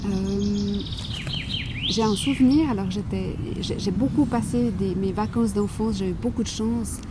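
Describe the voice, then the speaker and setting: Halting, reflective woman writer. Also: mid-range voice, background noise. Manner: thoughtful, halting, many restarts and fillers. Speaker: writer, interview guest. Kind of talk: reflective interview talk.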